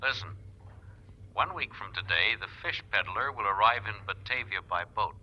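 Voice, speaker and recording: An actor playing a menacing, curt crook making an anonymous, threatening call in a 1940s radio drama. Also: male voice, fairly low pitch, animated, normal speed, pushed and bright, heavily acted. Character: menacing, commanding male anonymous crook on telephone. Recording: dull, lo-fi 1940s radio recording.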